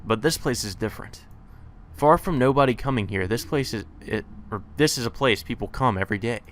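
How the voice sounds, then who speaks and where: A man's voice: medium pitch, brisk, a little hiss or hum. A man reading a visual novel aloud as a let's player, mixing the game's text with casual asides.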